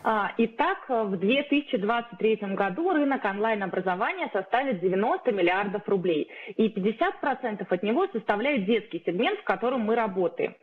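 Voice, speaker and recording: A woman in her thirties delivers a prepared investor pitch in an even, rehearsed manner, with a few filler sounds. Her voice sits mid-range in pitch. It comes through a narrow, phone-like video call line. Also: slightly rough. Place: indoor room, video call. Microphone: webcam or laptop mic on a video call.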